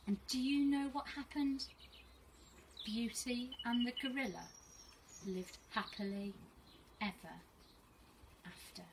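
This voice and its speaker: A middle-aged woman reads a children's story aloud like a teacher, expressive and deliberate, outdoors. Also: fairly high pitch. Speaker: first school teacher reading to pupils. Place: small fenced garden, outdoors. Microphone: camera a few metres away. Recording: outdoor camera audio, faint birdsong and noise.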